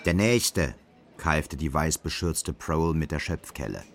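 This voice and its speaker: A man's voice: extremely low pitch, animated, slow, creaky, slight background noise, full character voice. A man narrating a literary radio drama in scripted prose.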